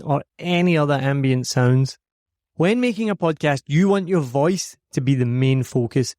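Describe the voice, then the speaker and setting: Scottish male podcast host with a slightly high voice; eager scripted pitch, dry studio mic. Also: a little mellow, dry recording. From Scotland. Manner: upbeat, promotional, earnest. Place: home recording studio.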